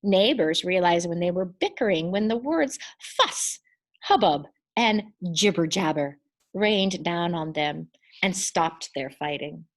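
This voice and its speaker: A woman's voice: fairly low pitch, expressive. Woman reading a kids picture book, takes her time, leans on the important words. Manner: deliberate, emphatic storytelling delivery. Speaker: storybook reader. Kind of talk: expressive children's storybook narration.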